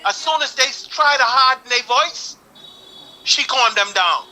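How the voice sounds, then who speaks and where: A man's voice: extremely high pitch, lively intonation, a little slow, bright, forceful tone. A Bahamian man calling in to a talk show, arguing earnestly and building up heat as he goes. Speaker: caller. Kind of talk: call-in opinion.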